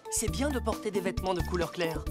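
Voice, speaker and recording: An actor voicing a calm, instructive rescue car robot in a French cartoon dub, speaking in a composed, explanatory way. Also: mid-range voice, fast, loud music behind the voice, natural voice.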